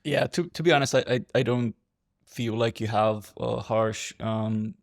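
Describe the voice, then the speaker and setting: Gravelly-voiced man hosting a podcast. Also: mid-range voice, steady tone, measured pace, dry recording, clean studio sound. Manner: friendly, laughs often, asks open questions. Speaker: podcast host. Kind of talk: casual interview chat.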